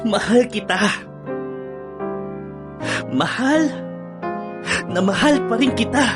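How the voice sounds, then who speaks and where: A man's voice: very high voice, animated, takes it slowly, full character voice. A man with a Filipino accent playing a hurt, pleading boyfriend in an online radio drama, coaxing and insistent. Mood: tearful pleading. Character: hurt, pleading boyfriend planning an anniversary getaway. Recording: music bed under the voice.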